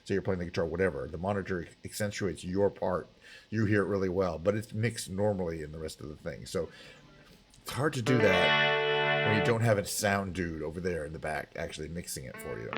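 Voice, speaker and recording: A man streaming from a home setup who talks casually and rambles through technical points, often stopping to correct himself. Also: deep voice. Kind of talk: singing with guitar, then casual chat. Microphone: headphones and studio mic. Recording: guitar music underneath.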